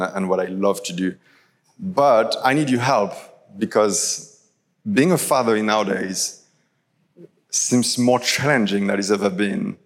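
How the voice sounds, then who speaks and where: A man in his thirties, originally from France, with a French accent, preaching a chatty live sermon full of fillers. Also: fairly low pitch. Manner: casual, chatty storytelling with many fillers. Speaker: pastor. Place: large church hall. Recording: live church recording with long hall reverb.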